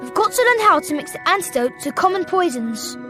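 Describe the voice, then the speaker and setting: A woman's voice: extremely high pitch, sing-song, brisk. A woman voicing a timid, worried young schoolchild in a wizarding video game. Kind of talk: video game cutscene acting. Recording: game music behind the voice.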